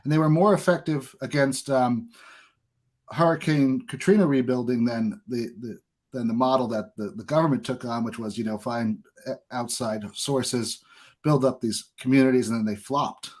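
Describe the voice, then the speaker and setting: A man's voice: mid-range voice, fairly even intonation, normal speed, darkish tone. A man hosting a podcast interview, chatting casually in a talkative, opinionated way. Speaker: podcast host.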